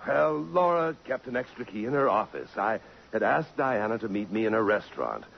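An actor playing an embarrassed, evasive gentleman suspect in a 1950s radio drama, hesitant and polite as he defends himself. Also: fairly low pitch, lively intonation, fairly quick, vocal fry, husky, full character voice. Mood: defensive. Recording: muffled, hissy 1950s radio broadcast.

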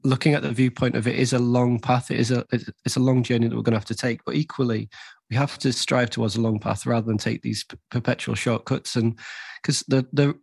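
A man asks his guest questions in an even, unhurried tone, with little rise and fall and a gravelly fry under his words. He circles back over his phrases as he talks. The recording is dry and close. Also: mid-range voice, no echo. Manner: measured, repeats phrases, many restarts. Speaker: podcast host. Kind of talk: conversational interview.

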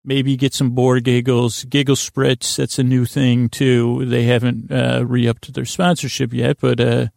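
A man hosting a bedtime podcast in a creaky, soothing voice, meandering and halting as he lulls listeners to sleep with whimsical tangents. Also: medium pitch, level tone, darkish tone, no echo, studio quality. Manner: meandering, halting, often trails off and repeats himself. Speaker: podcast host. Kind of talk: rambling bedtime monologue to lull listeners to sleep.

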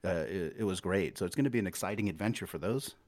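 Middle-aged male host, low voice, friendly teasing interviewer who laughs a lot. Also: fast, darkish tone. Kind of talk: casual interview chat.

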